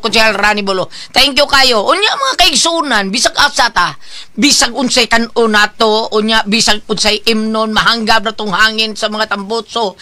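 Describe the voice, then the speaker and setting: A woman radio host with a Cebuano accent and a high, bright voice. She endorses products conversationally, making it up as she goes.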